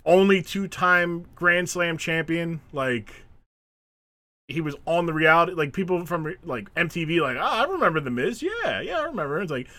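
A man co-hosting a casual podcast chat, animated, acting out quotes and laughing often. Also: a little high, normal speed, dry recording. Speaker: podcast co-host.

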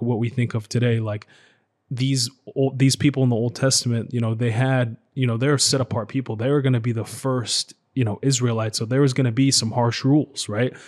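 A man hosting an interview in casual chat, speaking informally and haltingly as he rambles through his thoughts. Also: mid-range voice, fairly even intonation. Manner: informal, rambling, halting, acts out quotes in loose everyday speech. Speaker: podcast host interviewing a guest.

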